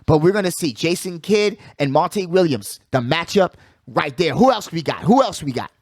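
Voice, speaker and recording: A man's voice: on the high side, lively intonation, normal speed, no room sound, studio quality. A man hosting a sports show with casual, opinionated commentary, talking emphatically in slangy phrases and repeating himself to drive points home. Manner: slangy, conversational address to listeners.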